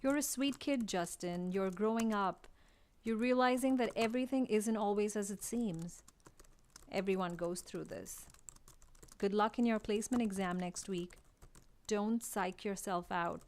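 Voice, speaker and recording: Woman playing gentle young woman, medium pitch. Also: a little slow, natural voice. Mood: gently cautioning. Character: gently discouraging twenty-six-year-old female lion tamer. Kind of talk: radio drama letter monologue.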